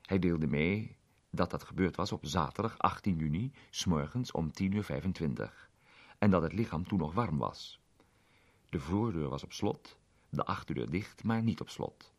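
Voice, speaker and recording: A man narrating a detective story in a measured, expressive reading. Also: low voice.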